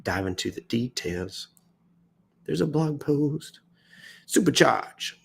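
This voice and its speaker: An American man with a mid-pitched voice reads news posts aloud on a livestream. He leans into exaggerated, theatrical emphasis and drops into self-mocking, off-the-cuff asides. He sounds somewhat sick and tired. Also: expressive, slow pace, creaky, breathy edge. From United States. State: sick and tiring, coughing now and then. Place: indoors.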